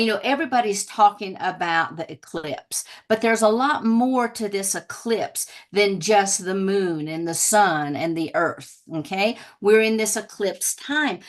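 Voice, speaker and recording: A woman hosting an online talk, introducing her guest in a gushing way with chatty fillers. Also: medium pitch. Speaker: host.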